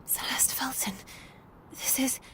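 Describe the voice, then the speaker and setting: An actress playing a rebellious, hot-tempered young maid in a dramatic video game, speaking emphatically. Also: female voice, takes it slowly, sharp tone, in a whisper. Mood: hushed, bewildered.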